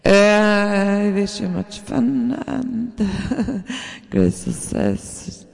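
Slow halting man, non-native English, gravelly. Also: high voice, a little mellow, big-room sound. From Germany. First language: not English. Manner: halting, searching for words, slow with many fillers. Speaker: sound producer sharing samples. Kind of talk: casual video message.

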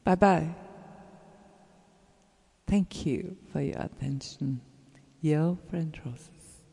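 A speaker with a German accent, a native German speaker, giving an earnest, encouraging message to fellow artists, halting and searching for words with many fillers, and trailing into soft whispers. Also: medium pitch, vocal fry, breathy edge. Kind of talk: casual spoken message to listeners. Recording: roomy with long reverb.

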